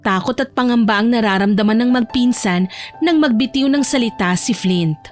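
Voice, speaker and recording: A woman's voice: fairly high pitch, normal speed, soft background music. A woman narrating a scripted romantic audio drama as a storyteller.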